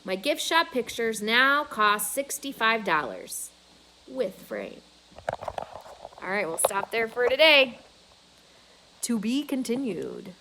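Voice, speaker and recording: A woman's voice: fairly high pitch, very animated, slow, pauses often, noisy background. A woman reading a children's novel aloud as a storyteller.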